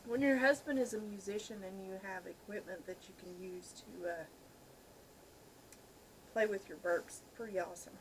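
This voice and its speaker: A woman's voice: medium pitch, normal speed. Woman chatting casually, noisy home recording. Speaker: co-host of amateur comedy video. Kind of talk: casual chat.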